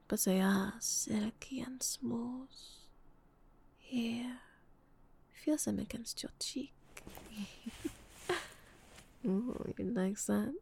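A woman whispering a teasing, breathy roleplay, slipping in French endearments and soft laughs. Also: fairly high pitch. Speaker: audio roleplay performer.

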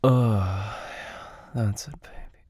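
A man doing an intimate audio roleplay, talking in soft whispers broken by low moans and sighs, close to the microphone. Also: fairly even intonation, takes it slowly, husky, no room sound.

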